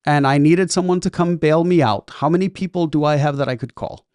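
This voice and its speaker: A man's voice: on the high side, a little fast, darkish tone, clean tone, dry recording, studio quality. A man co-hosting a casual business podcast, talking chattily and ending his points with "right?". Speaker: podcast co-host.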